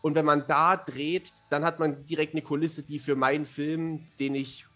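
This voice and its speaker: A man with a somewhat high voice talks casually as a podcast guest. He comes across as chatty and self-deprecating, with faint music in the recording. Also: level tone, moderate pace, sharp tone. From Germany. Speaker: interview guest, fantasy author and amateur filmmaker.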